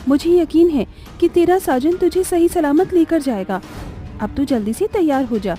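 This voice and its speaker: A woman's voice: high-pitched, animated, moderate pace, mellow. A woman voicing an eager, earnest young village woman in a cartoon story. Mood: concerned, reassuring. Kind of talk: Hindi animated horror story dialogue. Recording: music bed under the voice.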